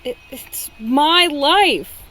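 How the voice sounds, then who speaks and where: A woman playing a timid young son in an animated game cutscene, soft and hesitant. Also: high voice, drawn-out words, slight background noise, full character voice. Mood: pleading.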